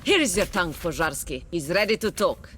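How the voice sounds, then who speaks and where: A woman's voice: lowish voice, big pitch swings, moderate pace, noisy background. An actress playing a fierce, mistrustful young Polish partisan woman in a game cutscene. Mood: curt, demanding. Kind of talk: dramatic game cutscene acting.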